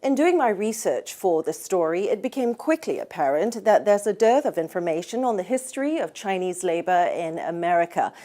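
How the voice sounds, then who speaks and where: A woman news correspondent reporting from city streets in a measured, formal broadcast delivery. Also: medium pitch, dark tone, no echo.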